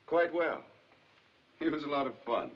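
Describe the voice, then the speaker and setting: An actor playing a troubled, hurt married father in a 1950s film drama, speaking in a halting, polite, restrained way. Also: a little high, sing-song, husky. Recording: dull, lo-fi 1950s film soundtrack.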